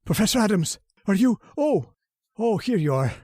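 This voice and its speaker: A woman's voice: sing-song, normal speed, dark tone, slight background noise, lightly acted. A woman playing a simple, nervous, giggly man who stammers and yields meekly, in old-time radio horror style. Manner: stammering, giggly, swooping between high and low.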